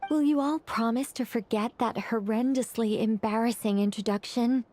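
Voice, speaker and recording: Woman playing high-voiced, formal angel Nicole. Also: a little mellow, close to an everyday voice. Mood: earnest, composed. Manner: polite, measured, formal. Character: Nicole, gentle formal female angel, composed but easily embarrassed. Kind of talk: anime game voice acting.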